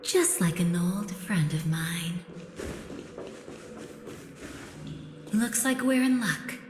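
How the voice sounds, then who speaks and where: A woman's voice: fairly low pitch, animated, unhurried, background noise. A woman voicing an enigmatic, elegant young woman in a video game, coolly playful and teasing, musing in a languid, coy, theatrical way. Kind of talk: scripted video game voice acting. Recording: roomy long reverb with music behind.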